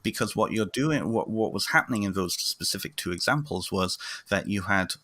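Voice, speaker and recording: A man's voice: fairly low pitch, lively intonation, normal speed, pushed and bright, husky, no echo, studio quality. A man explaining things conversationally as an interview guest, with emphasis and frequent checking questions. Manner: emphatic, often checks with "right?". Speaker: interview guest, therapist and lecturer.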